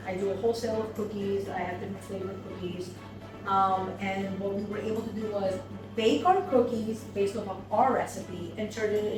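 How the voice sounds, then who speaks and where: A woman who owns a business, giving a thoughtful testimonial with many ums and pauses. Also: mid-range voice. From United States. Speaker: seminar attendee, business owner. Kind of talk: testimonial interview. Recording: echoey room, mic far off, music behind.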